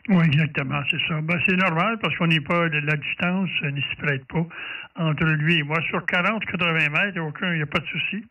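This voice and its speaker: A man with a Quebec French accent chatting casually as a ham radio operator, halting as he goes and often clearing his throat. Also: a little high, steady tone. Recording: narrow tinny sound, distant from mic.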